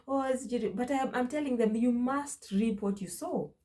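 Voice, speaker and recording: A woman's voice: fairly high pitch, normal speed, mellow. A young woman with a Kenyan accent, talking to her vlog audience in an emphatic way and repeating phrases for stress. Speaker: vlogger. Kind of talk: casual opinion vlog.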